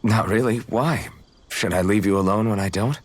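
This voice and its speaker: A male actor voices an earnest young swordsman from a fantasy game. His voice sits fairly low with a husky edge. He speaks gently and sincerely, and turns awkward when flustered. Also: moderate pace, lightly acted. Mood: gentle, curious. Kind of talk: video game cutscene acting.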